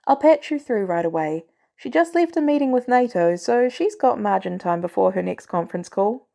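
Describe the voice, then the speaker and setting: A woman's voice: medium pitch, expressive, moderate pace, vocal fry, dark tone. A woman voicing a playful, chatty female switchboard operator at an agency in an audiobook story, breezy and teasing. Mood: brisk, helpful.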